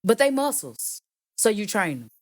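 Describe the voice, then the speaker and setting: Deep-voiced woman singer, animated and teasing. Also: normal speed, no echo, clean studio sound. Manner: animated, emphatic, playful teasing. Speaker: singer and songwriter, guest. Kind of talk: casual interview chat.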